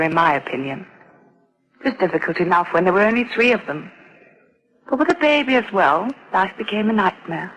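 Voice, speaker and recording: A proper official with expressive intonation. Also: female voice, medium pitch, rough recording. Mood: grave, confiding. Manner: formal, deliberate, well-spoken. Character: proper, confiding adoption society official, grave storyteller. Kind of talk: radio drama acting.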